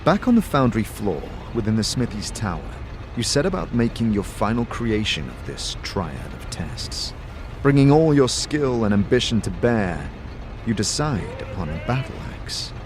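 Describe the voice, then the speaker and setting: A man reading scripted fantasy narration in a deliberate, theatrical way. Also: on the low side, sing-song, slight background noise. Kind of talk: dramatic scripted second-person fantasy narration. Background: music.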